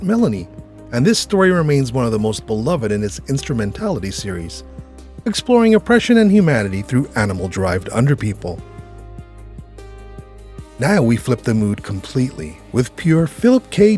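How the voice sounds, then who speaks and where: A man's voice: medium pitch, darkish tone. A man hosting with an enthusiastic scripted presenter style. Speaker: host. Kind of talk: scripted upbeat introduction.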